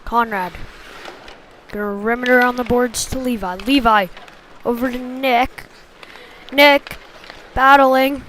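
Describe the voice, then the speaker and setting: High-pitched woman calling hockey play-by-play. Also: steady tone, takes it slowly, long pauses, fairly bright tone, a little hiss or hum. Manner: short clipped phrases, following play as it happens. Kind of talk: live sports commentary.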